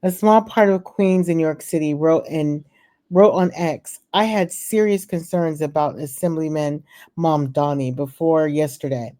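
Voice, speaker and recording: Woman vlogger, low mellow voice, unhurried; reads news and trips on names, then chats her own views. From California. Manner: reads haltingly, stumbles on names, then adds chatty opinions. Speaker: political commentary vlogger. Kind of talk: reading news aloud and commenting.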